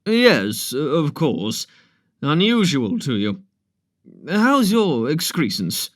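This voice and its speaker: A man reading aloud, voicing a brisk, bossy village doctor who talks in a loud, brusque, blustering way. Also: fairly high pitch, very animated, no room sound, studio quality, full character voice.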